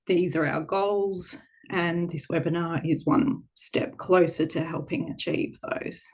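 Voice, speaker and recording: A woman with a slightly low voice hosts a webinar over a video call. A head cold leaves her a bit croaky, and she speaks in a relaxed, informal way with plenty of ums. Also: a little slow. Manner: warm, frequent ums, relaxed informal phrasing. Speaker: webinar co-host. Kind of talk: online webinar presentation.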